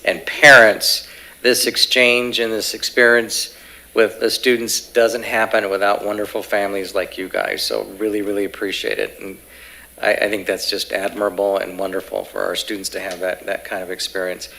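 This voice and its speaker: Bright-voiced man addressing a school board meeting. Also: on the low side, level tone, normal speed, creaky, poor audio. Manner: informal, repeats words while speaking. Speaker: school district official. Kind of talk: public meeting remarks. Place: school auditorium.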